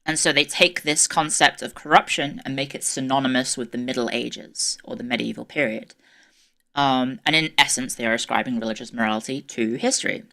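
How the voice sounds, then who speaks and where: A woman's voice: rumbling low, brisk, gravelly, pushed and bright. A woman giving a casual livestream history lecture, chatty and self-deprecating with many ums, her voice strained and tired. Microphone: desk microphone, peaking at times.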